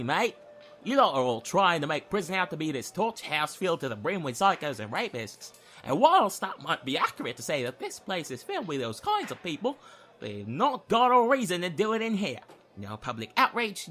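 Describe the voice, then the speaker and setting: Guy playing a cocky young inmate dude, sarcastic and mouthy, kinda high bright voice, audio comedy sketch. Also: full character voice. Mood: cocky, dismissive. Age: young adult. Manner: smug, theatrical, sarcastic, taunting. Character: cocky, mouthy young male prisoner, taunting newcomer.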